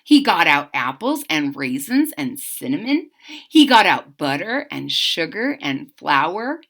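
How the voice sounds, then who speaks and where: Slow, expressive woman reading a storybook. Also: mid-range voice. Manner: expressive, chatty asides to listeners. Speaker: storybook reader. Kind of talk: children's read-aloud with asides.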